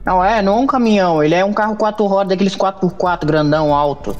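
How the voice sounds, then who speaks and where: A man's voice: high voice, rapid-fire, darkish tone. Muffled Brazilian male gamer on voice chat. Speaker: player in online roleplay game. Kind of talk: casual gaming voice chat. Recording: muffled game voice chat, faint music.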